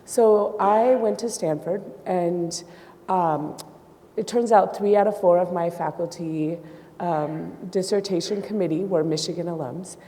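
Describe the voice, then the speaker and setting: Native woman, storytelling, mellow tone, hall reverb. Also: fairly low pitch, a little slow. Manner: storytelling, relaxed. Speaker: panelist, professor. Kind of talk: panel storytelling. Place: large auditorium.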